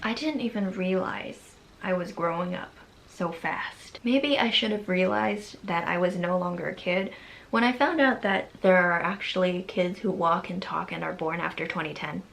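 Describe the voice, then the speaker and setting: A woman's voice: on the low side, moderate pace, creaky, a bit bright, slight background noise, low-quality recording. A woman in her early twenties talking anxiously in a casual, rambling vlog, often laughing as she speaks. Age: 20s. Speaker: vlogger.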